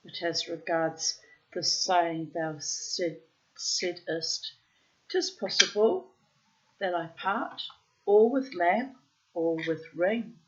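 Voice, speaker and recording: A woman's voice: lowish voice, slow, muffled recording. A woman reading the lines of an earnest young son answering his mother in formal archaic speech.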